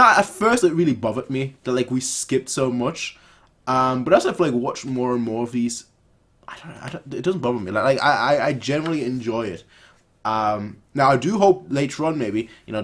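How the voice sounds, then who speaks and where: Man, mid-pitched voice, casual reaction host, rambling, opinionated, repeats phrases for emphasis. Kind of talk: casual off-the-cuff commentary.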